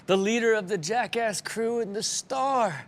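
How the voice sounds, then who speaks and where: A man's voice: sits high, a little slow. A man hosting a show, presenting in a hyped introduction style. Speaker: show host. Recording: very noisy, loud crowd.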